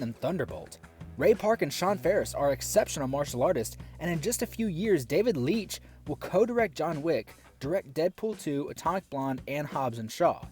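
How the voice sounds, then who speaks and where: Sarcastic, animated male film reviewer. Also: on the high side. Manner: sarcastic, exasperated asides. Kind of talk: scripted review commentary.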